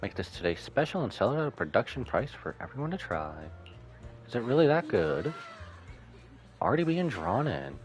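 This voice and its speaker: A man's voice: medium pitch, big pitch swings, moderate pace. A man streaming a game, giving casual live commentary and reading along. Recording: game music faintly behind the voice.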